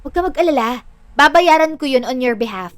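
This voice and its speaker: Money-hungry younger sister played by a woman: high-pitched, sassy, bossy, sarcastic; dry audio drama sound. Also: animated, brisk, no echo. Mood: scheming.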